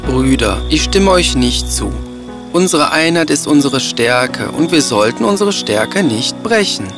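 Man voicing an earnest, animated wise pig brother. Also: fairly high pitch, a little slow, music in the background. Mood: earnest, reasoning. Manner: earnest, preachy. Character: wise, earnest young male pig, sensible cautious brother. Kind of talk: children's cartoon fairy-tale dialogue.

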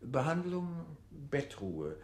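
A man playing a pedantic medical lecturer in a radio play, reciting facts in a clinical, measured manner. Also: fairly high pitch, sing-song. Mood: pedantic, dry.

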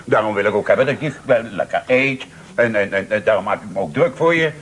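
A man's voice: fairly low pitch, expressive, a bit bright. An actor playing an aggrieved old pensioned sergeant and father in a Dutch radio play, blustering and stumbling over his words. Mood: sly, hesitant. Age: older. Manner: blustering, halting with many hesitations.

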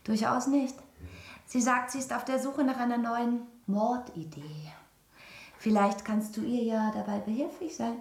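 Anxious, guarded wife, roomy sound. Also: female voice, a little high, measured pace. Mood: guarded, probing. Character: anxious, guarded wife, quietly suspicious of her husband. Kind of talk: German radio drama acting.

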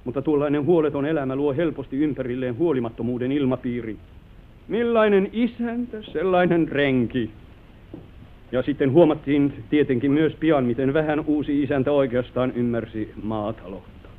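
Man acting brooding landowner, mid-pitched, grave, declamatory, self-reproaching, old radio drama, thin sound. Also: lightly acted. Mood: bleak remorse. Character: remorseful, brooding lieutenant losing his family manor. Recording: old narrow-band radio recording.

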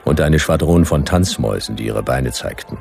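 An actor playing a hardboiled, laconic detective who narrates drily and deadpan in a radio play, with a gravelly voice. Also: male voice, extremely low pitch, moderate pace, soft background music, exaggerated voice. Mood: dry, deadpan. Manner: dry, deadpan. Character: hardboiled laconic male detective narrating his Western ordeal. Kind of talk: radio play first-person noir narration.

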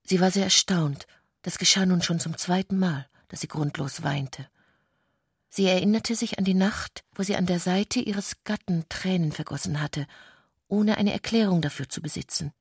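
Female narrator for literary radio drama: lowish, husky voice, measured and precise, recorded dry. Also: no room sound.

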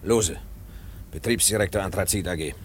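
A man's voice: deep voice, moderate pace, lightly acted. A man playing an evasive works director in a 1950s radio play, speaking in clipped, hesitant phrases. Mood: brusque, evasive. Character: evasive, brusque male coal company works director. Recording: faintly noisy 1950s radio recording.

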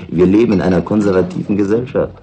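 A man playing a gruff, mocking local in a tavern, dry and cynical, in a 1960s radio drama. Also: sits low, fast, dark tone.

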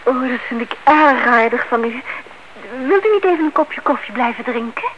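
Sensible young niece played by an actress, high voice, courteous and practical, dull old radio play recording. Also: female voice, lively intonation, normal speed, a little hiss or hum, close to an everyday voice. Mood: polite. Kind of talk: radio drama acting.

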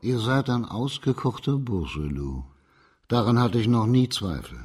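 An actor playing a stern, shrewd magistrate in a German radio crime drama, authoritative and terse. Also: male voice, medium pitch, very animated, a little slow, darkish tone, husky, exaggerated voice. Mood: stern, composed.

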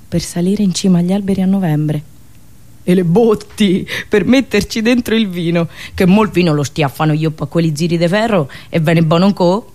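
A woman storyteller with a fairly low voice and a rural central Italian accent. She performs a theatrical monologue expressively, leaning on pauses and repeated words. Her phrasing drifts into country dialect. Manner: expressive, dramatic pauses, repetitions and onomatopoeic asides; slips into rustic dialect. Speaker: storyteller and radio show host.

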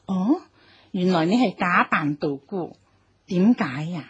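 An actress playing a commanding yet compassionate empress dowager in a Cantonese radio drama, formal and deliberate in manner. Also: female voice, on the low side, lively intonation, breathy edge, no room sound, muffled recording, heavily acted. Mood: stately, curious. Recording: music behind the voice.

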